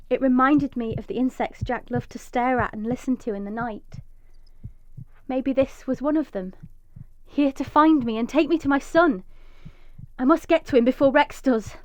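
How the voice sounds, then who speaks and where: An actress playing a desperate, vengeful mother trapped underground, narrating intensely in a breathy first-person radio drama monologue. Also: on the high side, lightly acted. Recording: echoey, slightly dull recording.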